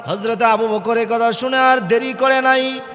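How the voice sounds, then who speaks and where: A man preaches in a high voice, taking his time over each drawn-out phrase. His storytelling is half sung and rises into loud, impassioned cries. Long hall reverb surrounds him, with faint music behind. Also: fairly even intonation. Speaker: Islamic preacher. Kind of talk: impassioned Bengali waz sermon, half-chanted storytelling.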